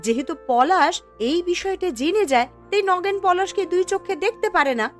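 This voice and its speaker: Young cartoon village woman played by a woman: high, lively, outspoken, expressive, music behind. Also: moderate pace. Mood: surprised. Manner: expressive, questioning, scolding. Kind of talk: cartoon folk-tale acting.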